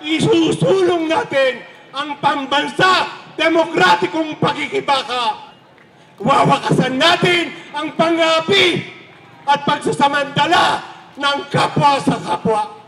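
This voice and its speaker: A Filipino man giving a forceful, declamatory political speech at a protest rally, shouting. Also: very high voice, slow. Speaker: rally speaker. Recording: echoey, noisy loudspeaker at outdoor rally.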